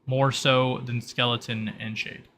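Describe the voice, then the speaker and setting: A man's voice: medium pitch, little pitch movement, measured pace, bright, forceful tone, a little hiss or hum. A man giving an improvised, matter-of-fact mission briefing in a tabletop role-playing game.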